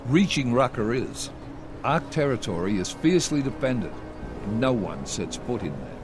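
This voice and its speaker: Stern male intelligence boss, authoritative, terse, mid-pitched, video game drama with scoring. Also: big pitch swings, measured pace, music in the background. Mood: grave, businesslike. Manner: clipped, authoritative. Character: stern, controlled male intelligence chief giving orders. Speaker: agency director briefing agents. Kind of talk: video game cutscene acting.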